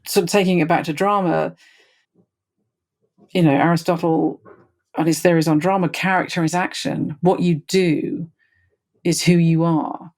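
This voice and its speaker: A woman's voice: deep voice, warm tone. A woman in an explanatory discussion, thoughtful and a little didactic as she lays out her points. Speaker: theater discussion panelist.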